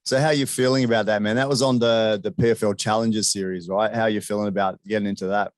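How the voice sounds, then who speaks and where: A man's voice: fairly low pitch, fairly even intonation, fast talker, no room sound. A man hosting a casual sports interview in a chummy, informal way. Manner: chummy, informal, often addressing guest as "man". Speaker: interviewer, show host.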